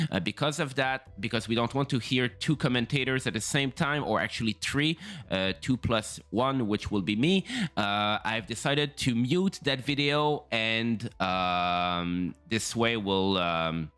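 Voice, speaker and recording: Halting man streaming. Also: mid-range voice, a bit bright, clear, resonant tone. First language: French. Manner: halting, many fillers and restarts. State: throat still rough after flu. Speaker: streamer and first-time race commentator. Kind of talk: casual livestream talk.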